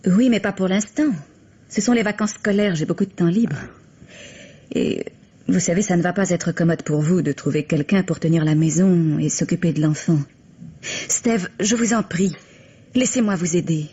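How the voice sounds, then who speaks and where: An actress playing an earnest, solicitous woman in a French radio mystery, gently persuasive as she pleads to be allowed to help. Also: on the low side, moderate pace, husky. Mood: earnest, pleading. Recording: dull, muffled vintage radio recording.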